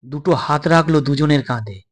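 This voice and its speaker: A man's voice: fairly high pitch, normal speed. A man reading a scripted suspense story as its narrator.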